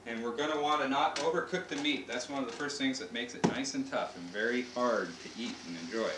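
A man's voice: mid-range voice, brisk. American man hosting an echoey home cooking demo. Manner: playfully presenting, explaining step by step. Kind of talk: casual cooking demonstration. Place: home kitchen, indoors. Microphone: camcorder mic a few feet away. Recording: noisy, echoey home camcorder audio.

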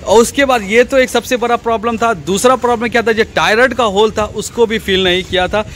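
A man's voice: sits high, fairly even intonation, brisk, fairly bright tone, a little hiss or hum. A man filming his construction site on a handheld phone, explaining step by step and asking himself questions before answering them. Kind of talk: casual on-site tutorial, walking and pointing. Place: outdoor construction site by a basement wall. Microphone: handheld phone camera.

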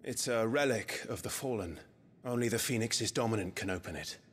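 A man's voice: lowish voice, brisk, breathy edge. A man playing a brooding, driven young swordsman in a video game, with a British accent and a grave, terse, intense way of speaking. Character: brooding, driven young swordsman and sworn shield of his brother. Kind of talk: video game cutscene acting.

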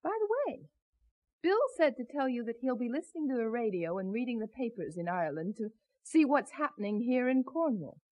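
A woman playing an exasperated, brisk wife and mother in a 1970s radio drama. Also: fairly high pitch, animated, warm tone, muffled recording, a little hiss or hum, close to an everyday voice. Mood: brisk, pointed.

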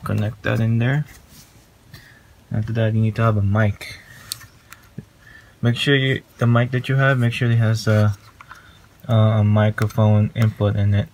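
Halting, flat-toned man with background hiss. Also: fairly low pitch. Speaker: tutorial presenter. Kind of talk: casual hands-on tutorial. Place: small room at home, indoors. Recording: noisy handheld home recording.

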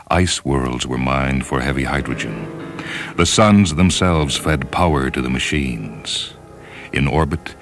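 A man reading a science fiction story as solemn, measured, dramatic narration. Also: rumbling low. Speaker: short story reader. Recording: voice over background music. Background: orchestral and piano music.

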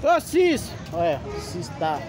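A man's voice: high voice, wildly swooping pitch, slow pace. A man filming a walking vlog through an outdoor street market, greeting vendors and pointing things out in a friendly, churchy way with a Northeastern Brazilian accent. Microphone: handheld phone camera. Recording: busy market noise with loud music. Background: loud crowd chatter and music.

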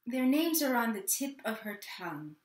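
A woman performing a dramatic monologue as a confused, childlike mother with memory loss, halting and full of wonder. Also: fairly high pitch, big pitch swings. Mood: wondering, drifting. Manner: slow, halting, wondering delivery with lingering pauses. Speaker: actor in a staged reading. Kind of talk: dramatic third-person monologue, read on book. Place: plain indoor room at home. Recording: roomy home webcam sound.

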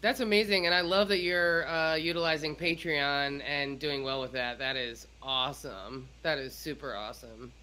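A woman's voice: low voice, fairly even intonation, normal speed, hardly any pauses, sharp tone. A middle-aged woman hosting a casual live stream in an informal, effusive way. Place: indoor room, video call.

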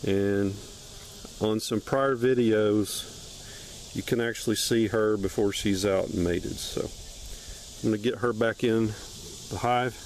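A man casually narrating a hive inspection outdoors, with an unhurried, plain-spoken, matter-of-fact way of talking. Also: lowish voice, steady tone, slight background noise. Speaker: beekeeper and video host. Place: outdoors among trees beside beehives.

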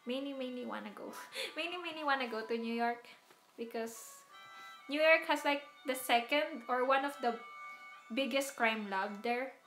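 A woman's voice: high voice, moderate pace, a bit bright, reverberant, faint music behind. A young woman with a Filipino accent chatting casually with live viewers, often laughing and restarting her words. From Philippines. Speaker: streamer. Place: small room indoors.

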